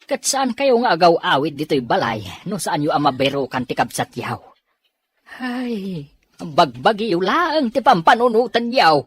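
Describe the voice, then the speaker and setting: Radio drama father, middle-aged Filipino Ilocano, husky and animated, dry sound. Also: high-pitched, moderate pace, no room sound, heavily acted. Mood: scolding. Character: father of quarrelling children, weary older brother.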